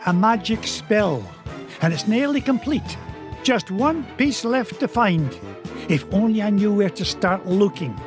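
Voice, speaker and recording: A man playing an elderly Scottish duck in a cartoon game, a gruff but fond adventurer uncle. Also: high voice, expressive, unhurried, over music. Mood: pondering. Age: older. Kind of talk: cartoon video game acting.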